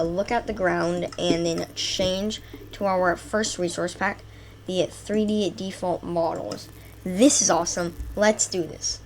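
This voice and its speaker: Stuffy-nosed excitable woman gamer. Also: medium pitch, slight background noise. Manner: excitable, enthusiastic, rambling lists with fillers. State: stuffy from a cold. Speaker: gaming channel host. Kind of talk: casual gameplay commentary.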